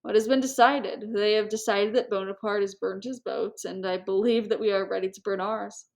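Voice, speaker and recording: A woman's voice: mid-range voice, steady tone, moderate pace, creaky, close to an everyday voice. A woman voicing an aging, patronizing prince with a languid, listless and coldly polite manner, in a storybook reading.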